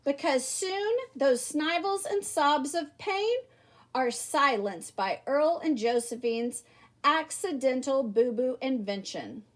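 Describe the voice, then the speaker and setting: A woman's voice: high voice, expressive, slow. A woman reading a children's book aloud expressively and emphatically, speaking directly to young listeners. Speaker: storybook reader.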